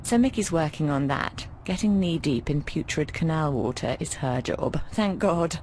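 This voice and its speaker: An actress voicing a breezy, affectionate, bawdy landlady in a video game, chatting in an expressive, teasing way. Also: low voice, normal speed, a little hiss or hum. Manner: expressive, teasing, calls people darling. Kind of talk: conversational video game voice acting.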